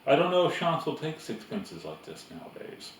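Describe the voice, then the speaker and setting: Man as young storybook boy, higher pitch, curious, doubtful, polite, echoey room. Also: big pitch swings, moderate pace, slight background noise.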